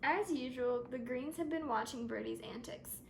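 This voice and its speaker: High-voiced woman reading a children's story. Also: moderate pace, gravelly, very noisy. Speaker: storybook reader. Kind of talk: expressive scripted children's story narration.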